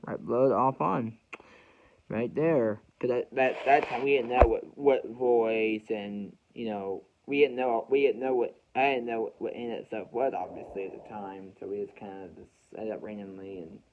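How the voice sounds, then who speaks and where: A man's voice: medium pitch, a little hiss or hum. A young American man telling a story casually to his viewers, unscripted, often repeating and rephrasing his words as he goes. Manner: halting, repeats and rephrases phrases. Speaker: weather radio hobbyist vlogger. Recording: dull, muffled lo-fi home recording.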